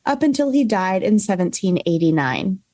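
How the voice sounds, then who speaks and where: A woman's voice: medium pitch, big pitch swings, moderate pace, warm tone, dry recording, studio quality. A woman co-hosting a podcast, telling a researched story in a casual way. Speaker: podcast co-host. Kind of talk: storytelling from notes with casual banter.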